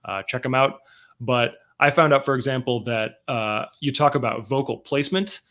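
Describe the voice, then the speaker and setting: A man with a cold, his voice stuffy and sniffly, hosts a loose chat about roleplaying games. His tone is bright and forceful, and he explains his points with quick examples. The sound comes through a video call. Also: mid-range voice. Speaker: host. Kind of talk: casual discussion of roleplaying games.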